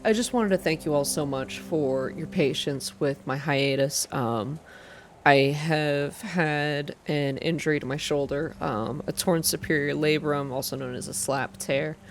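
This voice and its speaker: Low-pitched woman, ASMR reader, noisy background. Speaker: video game reader and channel host. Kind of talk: ASMR narrated reading and casual updates to viewers.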